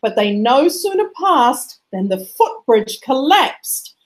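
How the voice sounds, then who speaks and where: A woman with a high voice narrates a rhyming picture book for children. Her delivery is expressive and rhythmic, rising and falling in a lively sing-song. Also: slow pace. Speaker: storybook reader.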